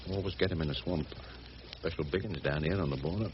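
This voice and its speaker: An actor as a rough working-class English boatman, low-voiced. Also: quick pace, natural voice. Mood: tender, chatty. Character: rough, good-hearted, excitable working man, a riverboat mechanic. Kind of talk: BBC radio drama acting. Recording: dull, noisy old radio recording.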